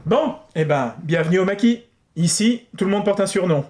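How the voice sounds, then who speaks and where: A man plays a wary Resistance chief in an audio drama. He speaks with authority in a fairly high male voice. Faint noise sits behind the voice. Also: animated, moderate pace, darkish tone. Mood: brisk, welcoming. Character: wary, authoritative Resistance leader vetting young recruits.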